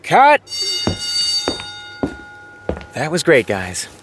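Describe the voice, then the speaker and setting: An actor playing a reluctant TV showrunner in an audio drama, natural, dry and a little whiny and hesitant. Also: male voice, a little high, wildly swooping pitch, a little slow, fairly bright tone, a little hiss or hum, full character voice. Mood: upbeat directing. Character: reluctant, grumbling male TV showrunner.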